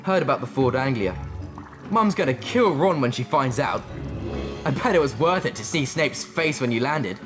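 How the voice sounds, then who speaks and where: A man's voice: mid-range voice, lively intonation, normal speed, close to an everyday voice. A man playing a jaunty, mischievous British teenage wizard shopkeeper in a video game, with salesman-like patter. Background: music.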